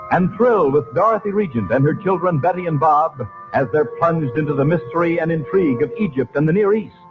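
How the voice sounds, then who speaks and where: A man delivering a polished sponsor pitch as an old-time radio announcer. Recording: tinny old radio recording with music. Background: music.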